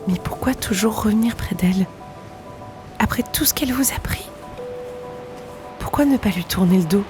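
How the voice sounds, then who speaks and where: Casting: actress as sorrowful, yearning woman, soft breathy delivery, hesitant, audio fiction with light music underneath. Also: medium pitch, moderate pace, natural voice. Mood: questioning. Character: grieving, wistful woman searching the sea for her lost brother. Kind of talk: French audio drama acting.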